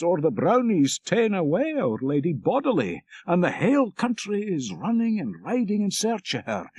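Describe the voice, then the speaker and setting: Storybook role: male reader as scandalized old Scottish countrywoman, gossipy and urgent, pitched high, swooping delivery. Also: husky, no room sound, heavily acted.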